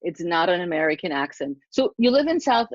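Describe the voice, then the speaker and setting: A middle-aged woman from Germany hosting a podcast interview, excited and effusive as she introduces her guest. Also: fairly low pitch, a little fast, dry recording. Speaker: podcast host. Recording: dull dry lo-fi sound.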